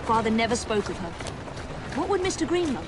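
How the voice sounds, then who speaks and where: Crisp agent, noisy street. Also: female voice, a little high, very animated, fairly quick. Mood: guarded, wistful. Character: brisk, dutiful young Victorian woman agent, guarded. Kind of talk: video game cutscene acting. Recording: clear background noise of street and carriage.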